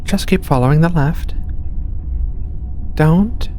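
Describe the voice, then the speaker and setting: A performer playing a giant furry alien medical researcher in a soft-spoken ASMR roleplay, gentle and reassuring with casual, hesitant phrasing. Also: slow. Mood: gentle, instructing. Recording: steady low ship rumble behind voice. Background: low rumbling spaceship ambience.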